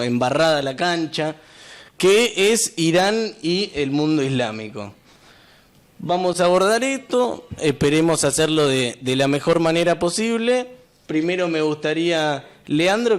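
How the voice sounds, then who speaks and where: Rambling Rioplatense male talk show host. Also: a little high. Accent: Rioplatense Spanish. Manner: rambling, with restarts and repeated words.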